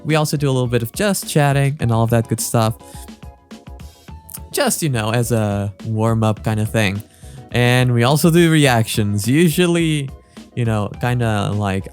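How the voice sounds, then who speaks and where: A man hosting a reaction video in a casual, rambling way, effusive and flowery, poking fun at himself. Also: medium pitch. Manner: effusive, flowery, self-deprecating.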